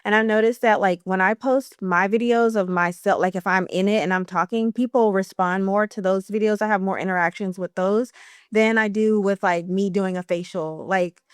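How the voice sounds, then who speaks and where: A woman's voice: medium pitch, fairly even intonation, moderate pace, smooth, dry recording, clean studio sound. A woman in her thirties, an esthetician, talking casually on a podcast in an encouraging way, with a light stutter and many fillers.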